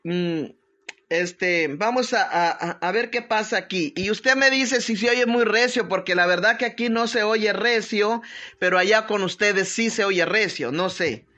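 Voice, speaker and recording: A woman's voice: medium pitch, measured pace. A woman pastor with a strong voice, leading an informal devotional talk with prayers, greetings and conversational asides. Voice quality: strong, forceful voice. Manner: conversational, hesitant searching for words, punctuated with amens and tag questions. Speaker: pastor hosting a live online prayer broadcast.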